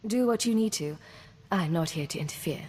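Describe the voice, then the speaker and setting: A woman's voice: lowish voice, animated, brisk, a little mellow, slightly rough. A woman playing a cool, self-assured intelligence agent in a video game, composed and wry. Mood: composed, probing. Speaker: game player character. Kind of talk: scripted game dialogue acting. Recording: reverberant hall, faint background noise.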